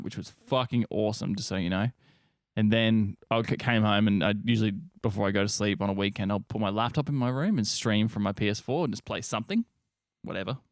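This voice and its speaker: A man's voice: fairly low pitch, no room sound. Casual australian guy, dry close sound. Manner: laid-back, sweary slang. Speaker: podcast co-host. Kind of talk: casual chat.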